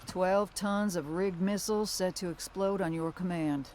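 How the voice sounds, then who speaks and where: A woman's voice: fairly low pitch, fairly even intonation, unhurried, darkish tone, slight background noise, lightly acted. An actress playing a tough, resolute bounty hunter who leads her team in terse, plain-spoken game dialogue. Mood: resolute, businesslike. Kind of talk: video game dramatic dialogue.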